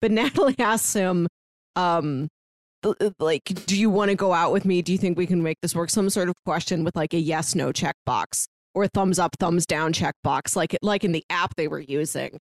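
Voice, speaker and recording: Lowish-voiced woman in casual movie chat. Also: normal speed, no room sound. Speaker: podcast co-host.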